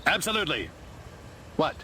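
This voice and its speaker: Higher-voiced, skeptical soldier. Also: male voice, wildly swooping pitch, normal speed, sharp tone, slight background noise, exaggerated voice, low-quality recording. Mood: unsure, disbelieving. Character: skeptical, stiff American army subordinate. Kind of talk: video game cutscene acting.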